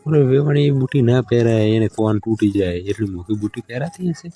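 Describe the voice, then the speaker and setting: A man's voice: medium pitch, expressive, fairly quick, warm tone, soft background music, natural voice. A man with a Gujarati accent playing a hard-up village lover who stalls, placates and bargains in a casual phone conversation. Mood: exasperated, placating.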